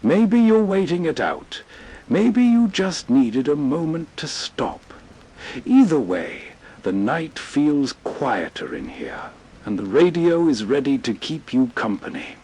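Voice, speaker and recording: A man's voice: fairly high pitch, sing-song, slow, warm tone, a little hiss or hum. A man reading a soothing scripted introduction as the host. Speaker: host, narrator. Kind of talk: slow, soothing scripted narration.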